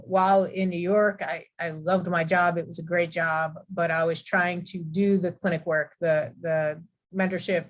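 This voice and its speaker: A woman who is a clinical law professor, speaking in a chatty, self-deprecating way on a video call. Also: on the low side. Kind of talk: virtual panel talk.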